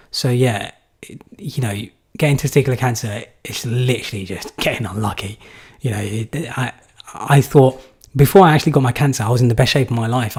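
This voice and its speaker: A man's voice: mid-range voice, darkish tone, husky. A young man from England answering viewer questions in a live stream, casual and rambling with many fillers. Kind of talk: live Q&A chat.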